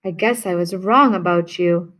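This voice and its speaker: A woman reading aloud and voicing a kind, plump old woman who gently helps a sick girl. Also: lowish voice, normal speed, natural voice. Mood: sad. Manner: gentle, sweet-natured.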